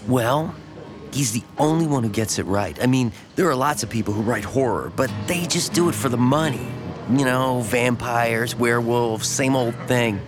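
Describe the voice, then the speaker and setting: A man's voice: mid-range voice, normal speed, over music, lightly acted. A man playing a lonely, withdrawn, apologetic small-town man in an audio drama, speaking in a hesitant, evasive way. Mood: earnest, obsessed. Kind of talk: naturalistic audio drama dialogue.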